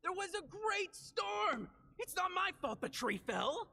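A man playing a flustered, defensive peasant in an animated fairy tale. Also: very high-pitched, measured pace, gravelly, full character voice. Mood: frantic, defensive. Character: flustered, defensive peasant blamed for a fallen tree. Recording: long hall reverb.

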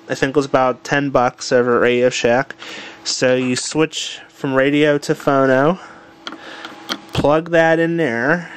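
A man casually demonstrating a home electronics project, unhurried and thinking aloud with fillers, filmed on a handheld camera in a room at home. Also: medium pitch, clean tone. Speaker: tutorial presenter. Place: home room with old radio.

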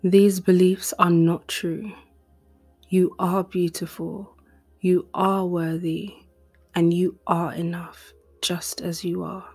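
A woman leads a guided meditation in a hushed, breathy voice. Her delivery is very slow and even, with little rise or fall in pitch. Soft music plays behind her soothing words. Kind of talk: guided meditation, scripted narration.

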